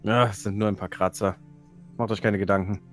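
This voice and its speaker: A man's voice: on the low side, flat delivery, fairly quick. A man chatting casually as a player in an online tabletop role-playing game. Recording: online voice call, background music.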